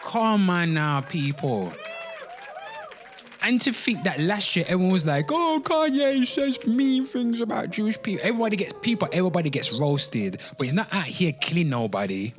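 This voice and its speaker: A man's voice: high-pitched, sing-song, moderate pace. A man giving informal, emphatic livestream commentary, repeating his phrases and speaking straight to his listeners, with occasional swearing. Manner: emphatic, repetitive, swearing, addressing listeners directly. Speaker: streamer, commentator giving opinions. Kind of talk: informal livestream commentary with some reading aloud.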